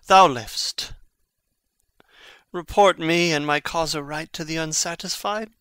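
A man acting a dying young prince in a classical tragedy, declaiming in a halting, anguished and urgent way. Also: fairly high pitch, sharp tone, smooth, dry recording. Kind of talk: classical stage tragedy, dramatic audiobook reading.

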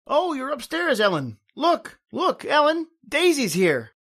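A woman's voice: high voice, big pitch swings, unhurried, a bit bright, exaggerated voice. An actress playing a chatty, fretful mother in a radio drama.

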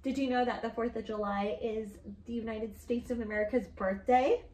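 A woman in her thirties hosting a children's story time, reading aloud and asking questions in animated, child-directed talk. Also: fairly high pitch, moderate pace. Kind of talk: picture-book read-aloud and chat for children. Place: living room, indoors. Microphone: camera a few feet away. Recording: echoey room.

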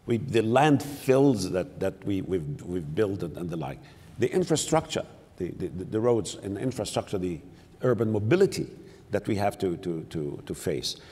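An older man speaking on a conference panel, halting, with many repeated words and fillers. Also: deep voice, very animated, warm tone, slightly rough. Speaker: panelist, city mayor. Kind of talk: panel discussion. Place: large conference hall, on stage. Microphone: lapel mic. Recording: long hall reverb.

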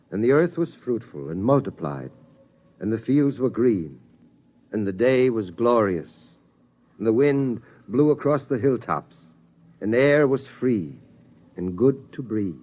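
An actor playing a grave, steady American father who tells his tale of survival in a measured way, in a 1950s radio drama. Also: medium pitch. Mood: solemn, reverent. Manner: solemn, deliberate, measured. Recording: muffled vintage 1950s radio recording.

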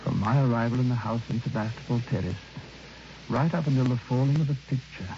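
An actor as a troubled man in an old radio play, speaking in a gravelly, mellow voice. He is measured and reluctant as he tells his tale. The recording is muffled, with some noise. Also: mid-range voice, lightly acted. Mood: troubled, hesitant. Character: troubled, reluctant male lodger telling his ghost story. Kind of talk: old radio drama acting and narration.

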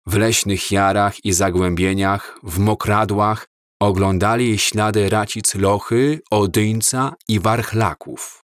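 Slow, low-voiced man reading a story. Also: dry recording, studio quality. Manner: slow, measured, calm reading. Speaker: audiobook narrator. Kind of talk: scripted storybook narration.

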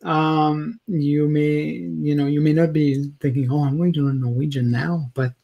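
Higher-pitched man, halting talker. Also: steady tone, creaky, lo-fi sound. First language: French. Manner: halting, searching for words, self-correcting. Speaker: video blogger. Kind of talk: casual opinion rant to camera. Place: small indoor room, home office.

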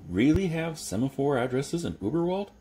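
A man's voice: on the high side, very animated, normal speed, dark tone, slight background noise, voice pushed up. A man voicing a nervous, socially awkward young clockmaker in an audiobook reading. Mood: flustered. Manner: anxious, flustered questioning.

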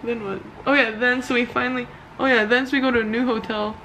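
A woman's voice: on the high side, steady tone, fast. A young woman vlogging from inside a car in casual chat, telling stories animatedly and laughing often. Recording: dull muffled audio with loud road noise.

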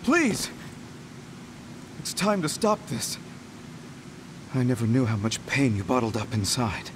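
An actor playing a gentle, sorrowful man in a video game, earnestly imploring a tormented friend. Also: mid-range voice, big pitch swings, unhurried, background noise. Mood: pleading. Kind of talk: dramatic video game acting.

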